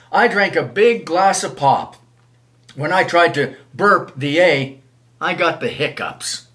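Older man, slow animated storyteller. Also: on the high side. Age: older, 70s. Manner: expressive, measured storyteller phrasing. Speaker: children's author reading own book. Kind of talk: storybook read-aloud for children. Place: small wood-panelled room, indoors.

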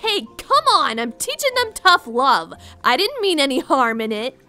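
A woman voicing a tough, teasing older high school girl, blunt and sassy, in an animated roleplay. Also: normal speed, soft background music, heavily acted. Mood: mocking.

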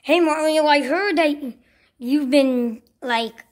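A woman's voice: high-pitched, slow pace. A woman playing a scornful, easily offended big cartoon gorilla in an improvised toy play skit.

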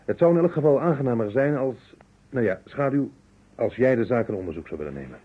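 A man's voice: medium pitch, animated, brisk, slightly rough. An actor playing a sober, matter-of-fact man in a vintage radio play, blunt and level-headed. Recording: muffled phone-like old radio recording.

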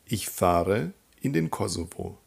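A man teaching a German lesson, calmly naming countries and repeating example sentences for learners to follow. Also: low voice, slow, vocal fry, husky. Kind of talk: slow, clear teaching with drill repetitions.

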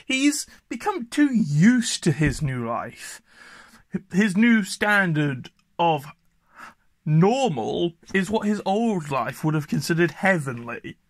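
A man presenting a video essay in a casual, thoughtful, pausing way. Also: sits high, fairly bright tone, dry recording, studio quality.

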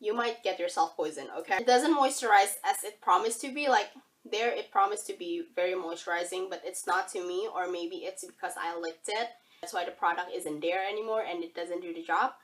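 A young woman from Indonesia, husky from a cold, chatting casually through a product review in her bedroom. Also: lowish voice, brisk. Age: young adult. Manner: chatty, rambling, upbeat. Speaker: beauty vlogger. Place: bedroom, indoors. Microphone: camera facing her at arm's length.

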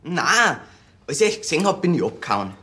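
A young actor playing a sullen, defensive schoolboy from the countryside, in a radio drama. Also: male voice, on the high side, sing-song, a little fast, bright, forceful tone. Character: sullen, defensive rural schoolboy suspected of shooting.

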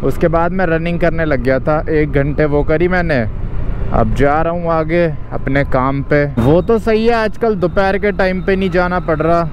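A young man in his twenties talks casually to his viewers while riding a scooter and driving a small car. His voice sits a little high. Steady traffic and engine noise runs under his words, picked up by an action camera. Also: normal speed. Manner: chatty, relaxed, addresses viewers directly. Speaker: vlogger. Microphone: action camera mic.

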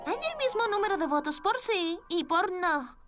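A woman voicing a cheerful, caring little girl who leads her friends in a dubbed children's cartoon, expressive and encouraging. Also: very high-pitched, moderate pace, loud music behind the voice. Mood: earnest.

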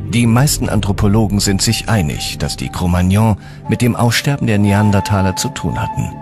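A man's voice: fairly low pitch, huge pitch swings, brisk. A man reading scripted documentary narration with dramatic storytelling delivery. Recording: music behind the voice. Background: background music.

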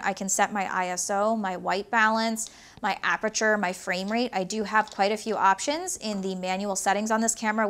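A woman in her twenties with a higher, slightly nasal voice, talking casually to camera about her gear in a small home studio, recorded on a lapel mic. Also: fairly bright tone, clear, resonant tone. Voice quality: higher-pitched voice, somewhat nasal. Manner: chatty, explains gear step by step, gestures with her hands. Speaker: YouTube creator. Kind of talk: casual explanatory talk to camera. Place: small home studio room with shelves, indoors, not soundproofed. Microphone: small lapel mic clipped to hoodie.

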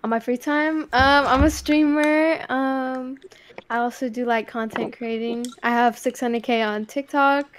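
A woman's voice: sits high, fairly even intonation, measured pace, a little hiss or hum. A nineteen-year-old woman from Southern California, a streamer chatting on a video call, friendly and giggly. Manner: friendly, giggly, uses like often. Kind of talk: casual dating chat. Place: bedroom streaming setup. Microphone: studio mic on boom arm.

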